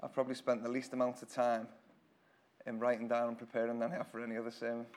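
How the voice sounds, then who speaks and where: A man preaches to his church with a hoarse, croaky voice. His style is casual and conversational, full of repeated phrases and small restarts, and his pitch sits in an ordinary middle range. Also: completely flat delivery, fast talker, darkish tone. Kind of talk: informal church sermon. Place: church hall with congregation.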